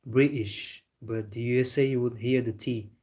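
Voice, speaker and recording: A man's voice: medium pitch, steady tone, moderate pace, darkish tone. A young man hosting a football live stream, chatting casually with viewers in a loose, laid-back way. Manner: loose and chatty, calls viewers bro, talks with a laugh.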